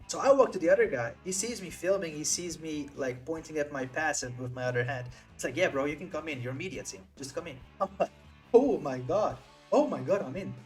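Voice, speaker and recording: A young man from the Netherlands telling an animated story as a vlogger, acting out the other people's lines with big jumps in pitch. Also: fairly quick, soft background music. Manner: excitable, acts out friends' lines with swings in pitch.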